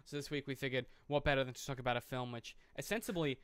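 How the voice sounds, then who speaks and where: A man's voice: mid-range voice, fast, a bit bright, clean tone, no room sound. A man co-hosting a film podcast, talking casually in a dry, rambling way. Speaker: podcast co-host. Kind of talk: casual film discussion, sometimes reading a plot summary.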